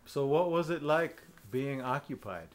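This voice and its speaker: A man's voice: on the high side, animated, measured pace. A man interviewing an older man, asking brief questions and giving short acknowledgments. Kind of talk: oral history interview.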